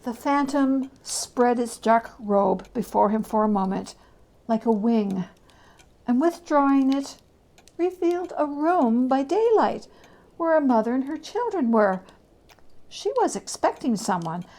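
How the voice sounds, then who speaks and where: A woman giving a dramatic literary reading as storyteller, deliberate and expressive, at times hushed. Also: high voice.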